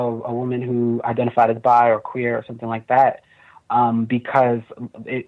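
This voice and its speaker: A young man with a fairly high voice, speaking thoughtfully as an interview guest with many fillers. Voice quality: relatively high voice. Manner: thoughtful, frequent fillers, laughs easily. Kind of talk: casual conversation. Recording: tinny phone-like video call audio.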